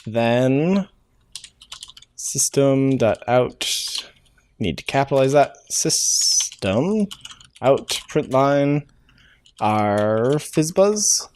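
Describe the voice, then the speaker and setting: A man's voice: medium pitch, animated, extremely slow, pauses often, a little mellow, slight background noise. A man hosting a programming tutorial, thinking aloud as he codes, with frequent "uh" fillers and self-corrections. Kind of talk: casual live-coding walkthrough. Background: keyboard typing and clicks.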